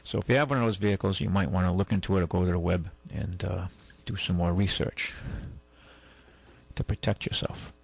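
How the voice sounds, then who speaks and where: A man hosting a call-in radio show, talking casually and haltingly, rambling as he goes and reading out items. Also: deep voice, steady tone, gravelly, breathy edge. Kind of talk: casual talk radio, reading news and chatting. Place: radio studio. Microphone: close studio microphone.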